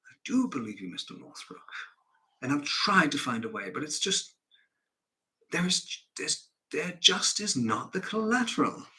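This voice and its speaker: A man's voice: fairly high pitch, big pitch swings, normal speed, sharp tone, pitched above the performer's own voice, exaggerated voice. A man playing a reserved, conflicted banker in a stage musical, formal and hesitant. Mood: regretful. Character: reserved, conflicted English banker and busy father.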